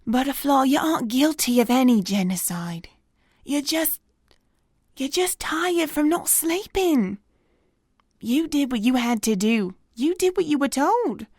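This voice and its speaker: A woman's voice: sits high, expressive, unhurried, a little mellow, no room sound, clean studio sound. A woman playing a caring but firm pony maintenance worker in an audio drama, coaxing and informal. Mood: worried, soothing.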